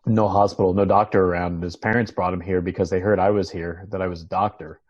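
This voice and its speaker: A man reading the part of a troubled, earnest former doctor in a dramatic table read, halting and reflective. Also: deep voice, steady tone, darkish tone, lo-fi sound. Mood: reflective, resolute. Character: troubled, earnest male former doctor seeking a fresh start.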